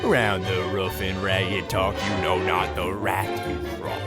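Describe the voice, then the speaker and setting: An actor playing a rambling radio interviewer who talks in wordy, alliterative rhymes, in old-time radio comedy style. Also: male voice, deep voice, level tone, a little slow. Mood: defiant, grandiose. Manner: wordy, alliterative, sing-song rhyming. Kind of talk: 1940s radio comedy acting. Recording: music bed under the voice.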